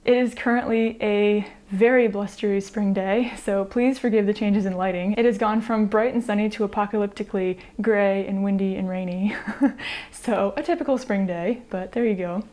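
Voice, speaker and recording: An American woman in her thirties, chatting to camera in a small home room on a lapel mic, light and easygoing, with soft laughs between thoughts. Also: medium pitch, steady tone, normal speed. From United States. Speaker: sewing vlogger. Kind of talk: casual chat to camera.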